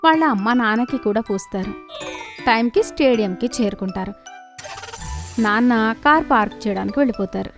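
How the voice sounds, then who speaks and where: A woman reading scripted narration for an animated children's story. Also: a little high, fairly quick, pauses often, over music.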